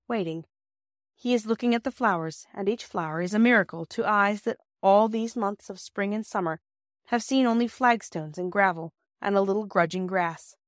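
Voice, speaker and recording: Female audiobook narrator: measured, expressive storybook reading, mid-range pitch, very dry recording. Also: no room sound.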